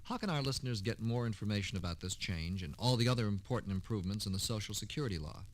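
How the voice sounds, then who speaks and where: A man's voice: lowish voice, expressive, fast talker. A man hosting a 1960s public service radio programme with a polished, scripted delivery. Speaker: radio host.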